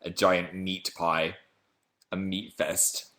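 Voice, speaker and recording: A man in his twenties from England with a deep voice, chatting playfully on a webcam livestream while reading comments. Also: fairly even intonation, slow, pushed and bright. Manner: playful, jumps between high and low pitch. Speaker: livestream host. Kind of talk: casual live chat reading comments.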